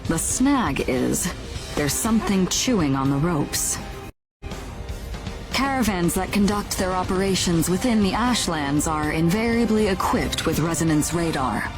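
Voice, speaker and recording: A composed woman in charge of a caravan, with a fairly low voice. She speaks in measured, businesslike sentences with quiet authority, as in a game cutscene, with music clearly behind her. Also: animated. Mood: grave. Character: composed, shrewd adult woman, caravan owner and leader. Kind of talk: video game cutscene acting.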